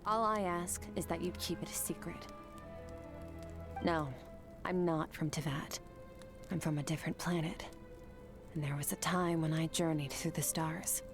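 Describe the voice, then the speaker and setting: Skirk, a cool terse swordmaster. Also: female voice, low-pitched, a little slow, pauses often, over music. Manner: calm, terse, detached. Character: cool, composed female swordmaster from another world.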